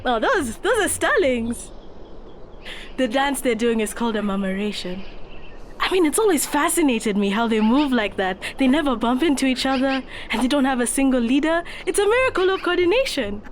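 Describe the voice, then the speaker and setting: A woman playing an easygoing, watchful young tech activist in a motion comic, explaining things like an unhurried guide. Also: sits high, very animated, slight background noise. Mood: fond, reflective.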